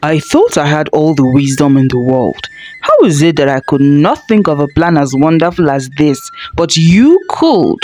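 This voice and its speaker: Woman voicing a proud, indignant folktale father, low-pitched. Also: very animated, normal speed, mellow, faint music behind. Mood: angry, incredulous. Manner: indignant, dramatic. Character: proud, bitter, angry father hoarding all wisdom. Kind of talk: folktale storybook character voice.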